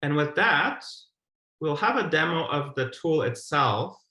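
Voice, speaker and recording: A man's voice: on the high side, expressive, unhurried, sharp tone. A man in his thirties giving an explanatory presentation as a webinar speaker on a video call. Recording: roomy, echoey video call.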